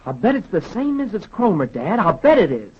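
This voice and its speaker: Earnest schoolboy, high lively voice, slightly husky, polite, old-time radio drama, dull recording. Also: male voice, fairly quick, full character voice. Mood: eager. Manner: earnest, boyish. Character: eager, polite small-town schoolboy son. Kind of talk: 1930s radio drama acting and narration.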